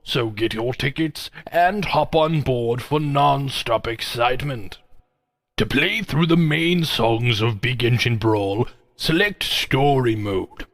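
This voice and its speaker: A man's voice: mid-range voice, a bit bright, big-room sound. A man playing a stately, genial railway controller, giving a formal scripted game introduction. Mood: welcoming. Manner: formal, measured, announcing.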